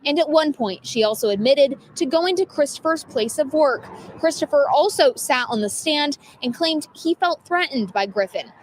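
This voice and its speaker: A woman reporter with an American accent reads a scripted news story. Her voice is notably high, in a formal broadcast delivery. Faint ambient noise sits behind her. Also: expressive, moderate pace, poor audio.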